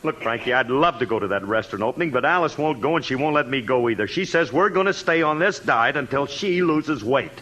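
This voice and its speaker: An actor playing an eager, bumbling henpecked husband in a 1940s radio comedy, with an excitable manner. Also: male voice, mid-range voice, a little fast, few pauses. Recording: noisy old 1940s radio recording.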